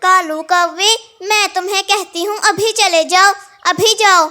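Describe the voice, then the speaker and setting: Bright, very high little bird voiced by a woman. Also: steady tone, unhurried, full character voice. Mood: firm. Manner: earnest, reasoning. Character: kind, peace-loving female little bird. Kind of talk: cartoon story dialogue.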